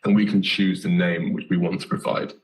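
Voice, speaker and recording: A British man with a very low voice, hoarse and slightly nasal as he gets over the flu. He walks through a coding lesson in a relaxed way, filling pauses with ums and correcting himself as he goes. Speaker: coding instructor. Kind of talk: live coding tutorial.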